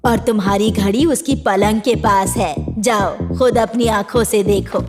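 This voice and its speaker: A woman's voice: medium pitch, sing-song, unhurried, a little mellow, soft background music. A woman voicing a cunning, self-assured village fortune-teller aunt in a cartoon story. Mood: confident.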